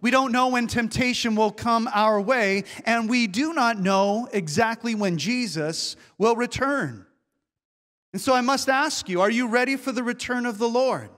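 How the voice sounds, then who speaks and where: A male pastor preaching a sermon, asking earnest rhetorical questions and slowing down to stress key words. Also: very high-pitched, fairly bright tone, long reverb tail. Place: church sanctuary.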